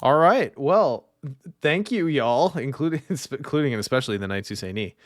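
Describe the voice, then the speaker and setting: A man hosting a podcast in casual chat, animated and playfully opinionated. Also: medium pitch, normal speed, no echo, clean studio sound. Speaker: podcast host.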